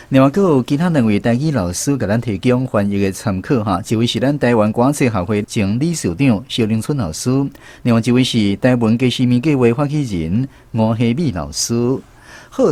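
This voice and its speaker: A man's voice: medium pitch, darkish tone. A man with a Taiwanese accent hosting a language lesson segment on the radio. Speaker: radio host.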